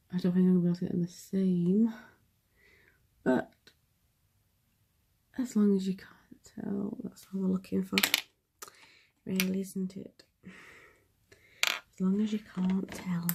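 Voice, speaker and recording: A woman's voice: medium pitch, little pitch movement, takes it slowly, creaky, long pauses, mellow, slightly rough. A young woman, chatting casually through a makeup tutorial, sniffly from a cold and often dropping to a whisper as she works. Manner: chatty, self-deprecating, drops into whispers while working.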